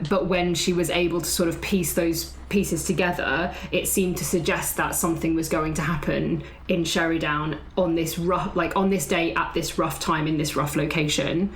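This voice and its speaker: A woman's voice: deep voice, slight background noise. A woman player casually improvising a description of her character for a tabletop roleplay game.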